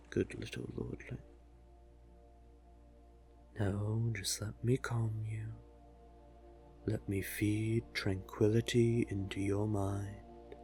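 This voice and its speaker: A seductive merman sea witch, played by a man, speaks in a low voice at a very slow, deliberate pace. He is doting and manipulative, coaxing and soothing the listener as in a hypnotic roleplay. Music plays softly behind him.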